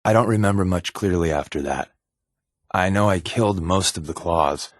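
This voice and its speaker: An actor playing a wry, guarded undercover resistance agent in a video game, conversational and confiding, with a slightly husky voice. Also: male voice, low-pitched, moderate pace, dry recording, studio quality. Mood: somber, haunted. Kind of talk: naturalistic video game companion dialogue.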